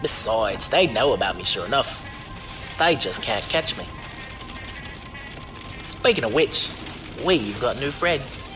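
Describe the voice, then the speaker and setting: A roguish driver-for-hire, acted by a man, breezy and unflappable. His pitch swings freely as he teases in folksy slang. Music plays behind him in this audio drama. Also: lively intonation, slow, long pauses. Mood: breezy, wry. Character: cocky roguish male driver-mercenary, wily and unflappable.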